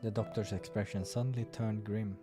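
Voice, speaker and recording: A man's voice: fairly low pitch, steady tone, a little fast, music in the background. A man reading visual novel narration aloud as the narrator of a playthrough.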